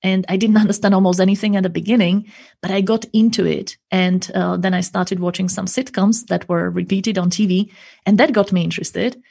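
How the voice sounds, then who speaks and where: A woman's voice: medium pitch, steady tone, brisk, vocal fry, warm tone, breathy edge. A woman working as a language coach and interpreter, answering interview questions in an earnest, explanatory way, often ending points with a tag question.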